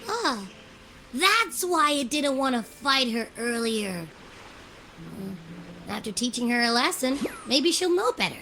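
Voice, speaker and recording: A woman's voice: high voice, very animated, measured pace, sharp tone, slight background noise, heavily acted, rough recording. A woman voicing a cheerful, brash young female adventurer in a video game, with a breezy, teasing way of exclaiming. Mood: musing. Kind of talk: animated video game voice acting.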